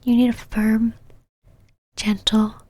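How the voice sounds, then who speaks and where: A woman's voice: a little high, little pitch movement, darkish tone, no room sound. Whispering, possessive vampire knight, acted by a woman. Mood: suspicious, possessive. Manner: whispered, slow condescending endearments and commands. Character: possessive, imperious female vampire knight, teasing and controlling. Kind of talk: ASMR roleplay monologue.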